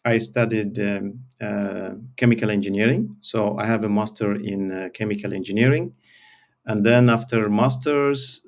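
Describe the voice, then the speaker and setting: Male academic guest, fairly low and gravelly, measured and hesitant interview delivery. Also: darkish tone. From born in Eritrea, grew up in Sweden. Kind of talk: explaining his career in an interview.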